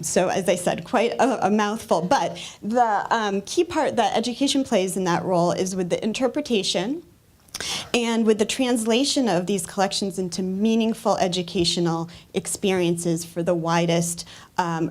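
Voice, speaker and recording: An American woman, a museum educator, giving an upbeat explanatory talk on a conference panel. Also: mid-range voice. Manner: upbeat, explanatory, occasional fillers. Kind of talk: presentation talk to an audience.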